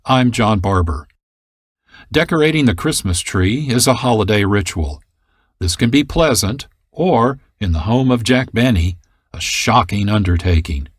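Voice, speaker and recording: A man's voice: fairly low pitch, dry recording, studio quality. A man presenting a radio program as host in scripted narration.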